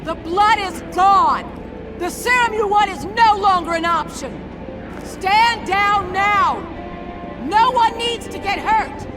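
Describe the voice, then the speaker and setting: An actress playing a tough middle-aged agency director in a video game, blunt, hard-bitten and authoritative. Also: female voice, low voice, lively intonation, measured pace, music in the background. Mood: shouting, urgent.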